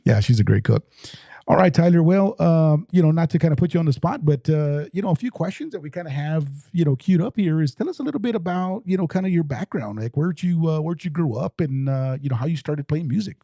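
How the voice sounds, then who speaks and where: Male podcast host from South Texas, mellow and gravelly, quick rambling delivery. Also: on the high side, animated, dry recording. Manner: upbeat, rambling, lots of fillers. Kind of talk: casual podcast chat.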